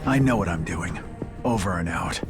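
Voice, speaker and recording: A man acts a stoic, defiant rabbit fighter from a video game. He is gruff, terse and sardonic in a lowish voice, with music clearly behind him. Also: moderate pace, close to an everyday voice. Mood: defiant, curt.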